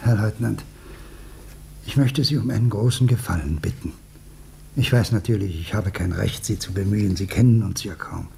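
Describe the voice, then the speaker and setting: A man's voice: lowish voice, normal speed, gravelly, dark tone, slight background noise. An actor in a German radio play playing an anxious, devoted old father, hesitant, apologetic and earnest. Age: older.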